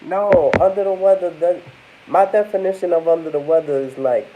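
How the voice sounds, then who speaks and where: A man's voice: a little high, normal speed, narrow, tinny audio, noisy background. A congested young man vlogging casually, rambling and talking to his viewers as y'all. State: congested, under the weather. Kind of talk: casual talk to camera.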